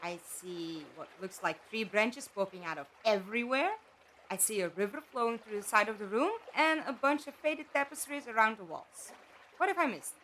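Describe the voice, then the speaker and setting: A woman's voice: a little high, normal speed, a bit bright, a little hiss or hum, natural voice, poor audio. A woman acting in an audio drama as a blunt, slightly sardonic companion who asks direct questions. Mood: questioning, wry.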